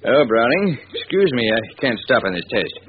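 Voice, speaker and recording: A man's voice: mid-range voice, sing-song, normal speed, natural voice. An actor playing a self-assured, skeptical male chemist with a breezy manner in an old-time radio drama, tinny and noisy. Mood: busy, breezy.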